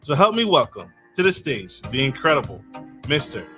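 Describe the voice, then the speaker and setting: A man's voice: mid-range voice, big pitch swings, moderate pace, loud music behind the voice. A man hosting a podcast, effusive and enthusiastic, giving big introductions and stumbling over names. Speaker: podcast host. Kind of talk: podcast interview.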